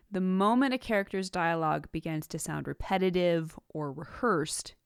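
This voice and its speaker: Smooth low-voiced woman narrating a lesson. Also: dry recording, clean studio sound. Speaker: writing podcast host and author. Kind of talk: scripted instructional narration.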